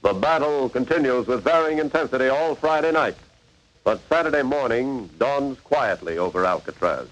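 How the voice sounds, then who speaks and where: A man's voice: medium pitch, lively intonation, normal speed, fairly bright tone, natural voice, poor audio. A man as a grave, formal, dramatic narrator of a 1940s radio crime drama. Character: grave, dramatic male documentary-style narrator.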